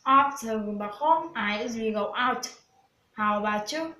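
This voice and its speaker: A woman with a somewhat high voice gives careful answers in a practice conversation. Each line comes slow and even, as if recited. The room adds a clear echo. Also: slight background noise. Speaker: student. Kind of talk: scripted English speaking practice.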